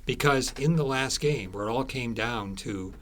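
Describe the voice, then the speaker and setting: A man being interviewed as an author, explaining things conversationally in a halting, storytelling way with repeated phrases. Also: medium pitch, a bit bright, a little hiss or hum. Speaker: author being interviewed about his book.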